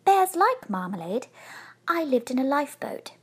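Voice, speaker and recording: Storybook young bear, female reader: tiny high voice, earnest, courteous, a little self-important. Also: sing-song, moderate pace. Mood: proud. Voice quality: small, clear voice. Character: small young male bear, polite, proud, earnest stowaway. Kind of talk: children's storybook reading.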